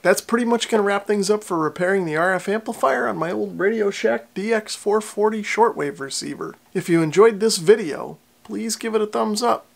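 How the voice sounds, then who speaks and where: A man's voice: sits high, a little fast, vocal fry, hardly any pauses. A man in his forties giving casual how-to narration as a repair channel host.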